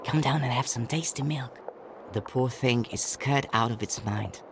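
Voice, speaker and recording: An actor playing a brave, earnest young boy in an adventure game, with expressive delivery. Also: mid-range voice, normal speed, heavy background noise, natural voice. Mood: coaxing. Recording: music under the voice.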